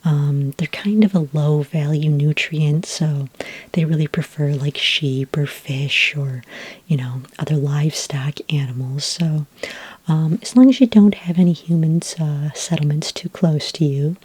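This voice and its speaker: Helpful fantasy dragonmaster played by a woman, soft breathy whisper, hesitant ASMR delivery, close and dry recording. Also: low voice, dry recording. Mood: gentle, helpful. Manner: hesitant, unhurried explaining, frequent ums. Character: patient, helpful fantasy dragon ranch expert. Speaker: ASMR roleplayer.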